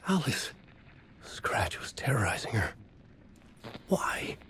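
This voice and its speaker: An actor playing a tormented, trapped writer, giving hushed, intense noir-like first-person narration. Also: male voice, fairly low pitch, big pitch swings, slow pace, whispered. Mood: anguished, hushed.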